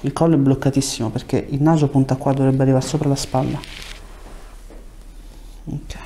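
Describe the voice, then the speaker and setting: A man's voice: mid-range voice, little pitch movement, normal speed, dark tone, slight background noise. A man in his thirties, a chiropractor, explaining and giving short clinical instructions and questions to a patient in speech. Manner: short directive questions and prompts. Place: small treatment room, indoors. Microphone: lapel mic.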